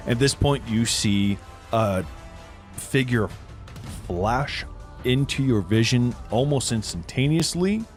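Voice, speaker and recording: Male game master, lower register, vivid improvised storytelling with dramatic description, light music underneath. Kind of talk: improvised tabletop game narration.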